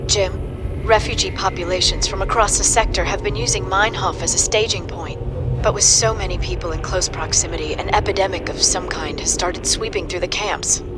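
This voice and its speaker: An actress playing an earnest, pleading colonist leader in a video game cutscene. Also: female voice, lowish voice, normal speed, over loud music, close to an everyday voice. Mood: pleading, urgent.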